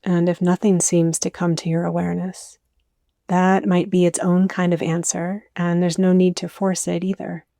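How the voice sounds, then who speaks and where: A woman with a dark, relaxed voice that stays very even in pitch. She narrates a guided meditation in gentle, soothing invitations, recorded close and dry. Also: creaky, no room sound. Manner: soothing, unhurried phrasing with soft invitations.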